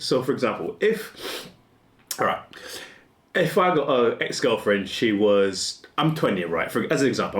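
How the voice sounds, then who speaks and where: Male vlogger, 40s, casual rambling delivery, very lively pitch, echoey room. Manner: rambling, restarts often, laughs at himself. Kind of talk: casual musing monologue.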